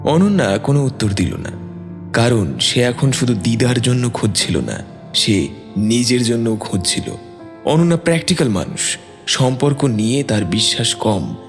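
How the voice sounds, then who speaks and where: Expressive male narrator with long reverb. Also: medium pitch. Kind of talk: scripted dramatic story narration. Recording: long reverb, music bed.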